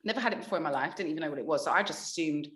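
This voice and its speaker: A woman's voice: deep voice, racing. Candid young woman, echoey room. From England. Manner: candid, animated storytelling with swearing and laughter. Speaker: radio presenter, podcast guest. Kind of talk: casual chat.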